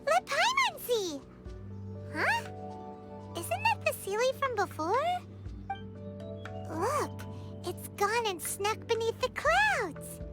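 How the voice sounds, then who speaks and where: A woman voicing a tiny, excitable fairy-like girl companion in an anime video game, with a squeaky, childlike voice and bubbly, exclamatory delivery. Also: slow, pauses often, heavily acted. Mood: excited, surprised, then curious. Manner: exclamatory, bubbly, refers to self in third person. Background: loud background music.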